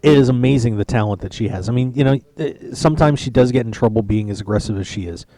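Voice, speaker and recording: A man's voice: mid-range voice, fast talker, warm tone, dry recording. Chatty male poker radio host. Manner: chatty, joking, rambling with restarts. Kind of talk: casual live-stream chat. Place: poker tournament lounge.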